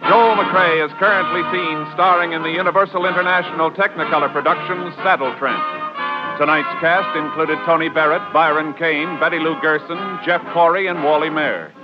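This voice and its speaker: A man announces in scripted 1950s radio style. His voice is high for a man, over loud music, on a dull lo-fi recording. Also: fairly even intonation, normal speed.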